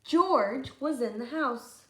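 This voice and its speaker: Animated, high-voiced woman reading a storybook. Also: slow, roomy sound. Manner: expressive, chatty commentary between lines. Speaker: read-aloud teacher. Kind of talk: storybook read-aloud with asides to children.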